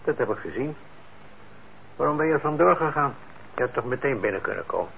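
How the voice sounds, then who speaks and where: A man's voice: medium pitch, expressive, fairly quick, vocal fry. An actor playing a mild, patient old shopkeeper and father in a radio play, speaking hesitantly. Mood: amiable. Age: older. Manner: patient, hesitant, soft-hearted. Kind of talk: Dutch radio play acting. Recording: dull, noisy old radio recording.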